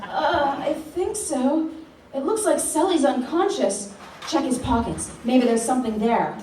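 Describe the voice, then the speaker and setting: A woman's voice: high voice, a little slow. A woman playing an action hero's feisty, exasperated sidekick in a live comedic radio play. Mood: helpful curiosity. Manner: exasperated, animated. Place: rehearsal hall live performance. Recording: echoey distant hall with background noise.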